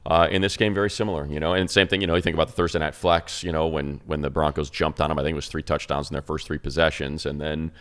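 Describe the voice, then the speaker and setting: A low-pitched American man talks sports as a show co-host. He explains things conversationally and with emphasis, posing questions to make his points. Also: level tone, quick pace, hardly any pauses, a bit bright, clean tone. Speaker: sports show co-host and radio play-by-play announcer. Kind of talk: sports talk analysis, conversational.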